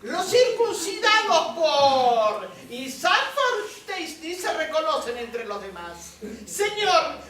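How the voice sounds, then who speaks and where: A woman playing a brisk radio announcer on stage who pitches directly to listeners. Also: very animated, reverberant, distant mic, crowd noise, exaggerated voice. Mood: salesy. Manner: salesy, addresses the audience directly. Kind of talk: 1950s radio advertising acting, stage performance.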